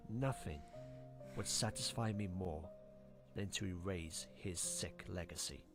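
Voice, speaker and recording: A man playing a sincere, grateful young pony with remorse to show, in an animated drama. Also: deep voice, measured pace, over music. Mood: grave resolve. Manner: expressive, sincere. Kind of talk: animated fan drama dialogue.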